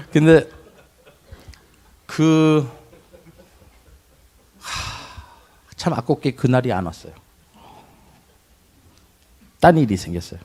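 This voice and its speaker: A middle-aged man giving a personal testimony, recalling his childhood in a reflective way with pauses and restarts. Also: on the high side, sing-song, a little mellow, slightly rough. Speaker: testimony speaker.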